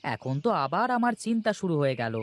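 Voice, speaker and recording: A man's voice: a little high, very animated, fast talker, no room sound. A man voicing a boastful, gluttonous young married villager in a cartoon, full of exclamations. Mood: anxious. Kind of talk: cartoon character dialogue. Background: music.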